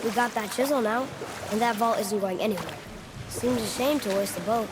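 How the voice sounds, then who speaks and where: A male actor voices a young boy in a video game, with a fairly high, youthful voice. He sounds inquisitive and casual with a cocky streak, and boat and water noise is clear behind him. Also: a little fast, natural voice. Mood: curious. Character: curious, cocky young boy, a god's son.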